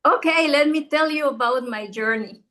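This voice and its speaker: A middle-aged woman from Ecuador talks with an Ecuadorian Spanish accent. Her voice sits a little high, and she tells her story earnestly, often restarting her sentences. She speaks as a guest in a relaxed chat. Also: normal speed. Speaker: guest realtor. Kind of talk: casual interview chat.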